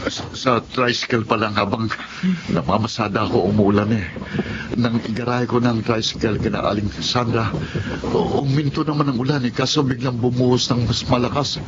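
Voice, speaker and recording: A man in a Filipino radio drama playing a kind, hard-working eldest brother, speaking in an earnest, gentle, halting way. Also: medium pitch, fairly even intonation, close to an everyday voice. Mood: tired, casual. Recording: muffled audio with loud background noise.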